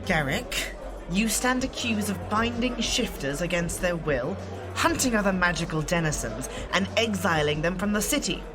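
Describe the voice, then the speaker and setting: A resolute exiled shifter, voiced by a woman, who speaks in measured, formal sentences. Her voice sits fairly low. Music runs behind her in this audio drama. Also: animated, natural voice. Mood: stern. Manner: formal and measured, avoids contractions.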